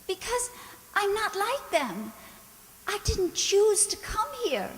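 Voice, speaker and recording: A woman playing a frightened, naive young maid in a radio drama, speaking gently and hesitantly. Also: extremely high pitch, big pitch swings, takes it slowly, in a large hall, heavily acted, rough recording. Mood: unhappy, timid. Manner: hesitant, timid, repeats words.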